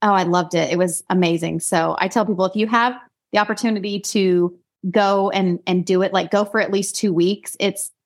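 A woman in her early forties, originally from Idaho, talks easily as a podcast guest. She rambles warmly and laughs often, and her pitch stays in an everyday middle range. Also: steady tone, moderate pace. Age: 40s. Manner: chatty and warm, laughs often, restarts sentences. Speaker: guest, singer-songwriter. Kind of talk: casual interview chat.

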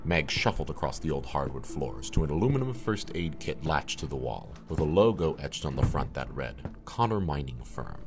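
An ominous male storyteller narrates a horror audio drama. His voice is extremely low yet animated in its rise and fall, set over prominent music. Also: moderate pace, few pauses, exaggerated voice. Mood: descriptive, atmospheric.

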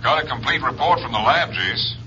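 An actor playing a businesslike Texas Ranger captain giving a report in a 1950s radio drama, on an old recording. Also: male voice, mid-range voice, expressive, brisk, bright, forceful tone, soft background music. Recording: muffled, noisy old-time radio recording.

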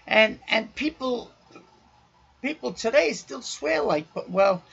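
Raspy loud guy preaching on his podcast, gravelly and bright, kinda dull lo-fi sound. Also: extremely high pitch, lively intonation, slow pace. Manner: loud, raucous delivery. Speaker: podcast host.

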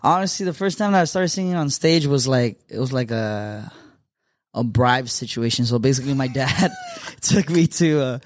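A man's voice: medium pitch, expressive, moderate pace, no echo, studio quality. A young man from Southern California telling stories as a podcast guest, casual and slangy, dropping Spanish phrases into his talk. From Huntington Park, California. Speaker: guest singer. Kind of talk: casual storytelling chat.

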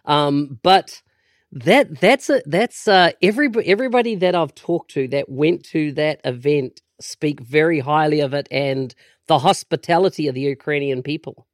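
A man's voice: a little high, moderate pace, no room sound, studio quality. A man hosting a podcast, reading an intro and chatting with a guest in a conversational way. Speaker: podcast host. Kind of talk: podcast interview.

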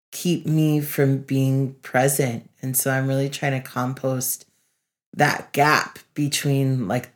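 A woman with a notably low voice chats as a podcast co-host. Her delivery is casual and conversational, with an earnest, introspective way of talking. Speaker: podcast co-host, facilitator and speaker. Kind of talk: casual reflective podcast chat.

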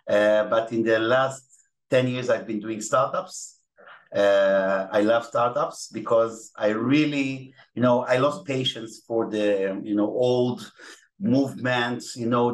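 A man's voice: medium pitch, rough recording. A middle-aged man with an Israeli accent, a native Hebrew speaker, answering as a podcast guest with many fillers and restarts. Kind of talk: podcast interview.

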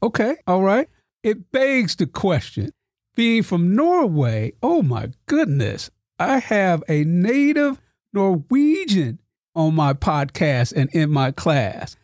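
A man's voice: high voice, huge pitch swings, slow, darkish tone, no echo, clean studio sound. A man hosting a podcast interview with his students, enthusiastic and formal in his introductions. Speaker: podcast host and instructor.

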